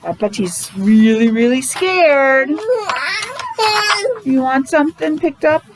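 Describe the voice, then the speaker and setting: A woman reading a picture book aloud to young children, expressive, adding explanations and questions along the way. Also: high voice, slow pace, heavy background noise. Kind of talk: animated read-aloud storytelling with asides to young children.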